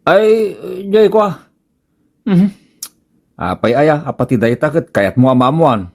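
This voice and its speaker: A man's voice: on the high side, sing-song, darkish tone, exaggerated voice. A man playing a flustered, lovestruck married tricycle driver in an Ilocano-accented radio comedy, stammering and drawing out his exclamations. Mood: defensive. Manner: stammering, exclaiming, drawn-out words. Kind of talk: comedic radio drama acting.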